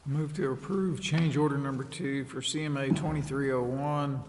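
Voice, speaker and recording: A man's voice: mid-range voice, steady tone, moderate pace. An American man, a council member, formally reading motions aloud in an echoing chamber with some noise.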